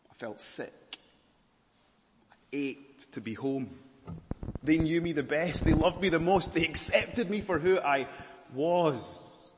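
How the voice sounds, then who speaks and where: A Scottish man preaching a sermon, earnest, moving between emphatic lines and hushed, slower ones. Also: on the high side, long reverb tail, slight background noise. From Scotland.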